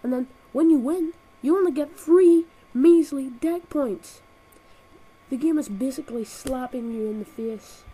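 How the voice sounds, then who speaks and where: A woman's voice: high voice, unhurried, dark tone, low-quality recording. A woman with a cold and a nasal voice, reviewing a video game with sarcastic, exaggerated comic rants, recorded on a built-in laptop microphone. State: has a cold.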